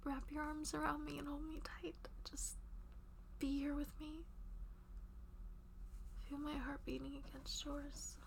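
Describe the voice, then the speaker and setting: A woman's voice: sits high, level tone, a little slow, vocal fry, pauses often, a little hiss or hum. A woman playing a devoted, tender lover in an intimate audio roleplay, speaking breathily and reassuringly and often dropping toward a whisper. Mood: soothing, hushed. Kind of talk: intimate one-sided romantic audio roleplay.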